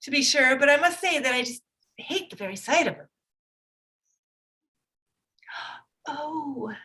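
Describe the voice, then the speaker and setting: A woman's voice: sits high, animated, normal speed, bright, forceful tone. A woman playing a fussy, gushing middle-aged mother in a theatrical period drama reading, effusive and chattering. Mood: flustered, falsely polite.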